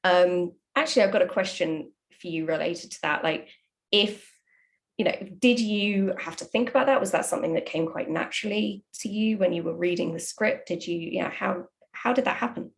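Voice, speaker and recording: Low-voiced woman chatting about creative work. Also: normal speed, vocal fry. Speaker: audio drama writer. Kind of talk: casual panel chat about writing.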